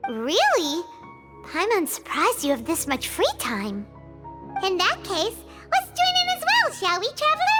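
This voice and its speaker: Childlike fairy sidekick, very high squeaky voice, excitable, video game, music behind. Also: female voice, unhurried, heavily acted. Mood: cheerful, eager. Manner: excitable, exaggerated delivery. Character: small childlike fairy companion, perky, nosy, speaks in third person.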